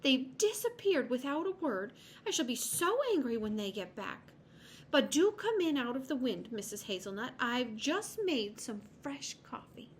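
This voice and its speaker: A woman's voice: fairly high pitch, very animated, moderate pace, few pauses, a little hiss or hum, pitched above the performer's own voice. A woman voicing a fretful but firm mother acorn in a children's storybook, complaining with emphasis. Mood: cross, worried.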